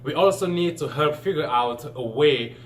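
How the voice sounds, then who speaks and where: A man's voice: fairly high pitch, moderate pace, slight background noise. Earnest young Cameroonian man presenting. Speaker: student presenter. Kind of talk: scripted science presentation.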